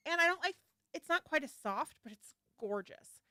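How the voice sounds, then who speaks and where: A woman's voice: high-pitched, lively intonation, normal speed, bright, forceful tone, no room sound, clean studio sound. A woman hosting a casual livestream for her shop, chatty and a little scattered, with a slightly nasal voice from a head cold. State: getting over a head cold. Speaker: yarn shop owner hosting a launch livestream.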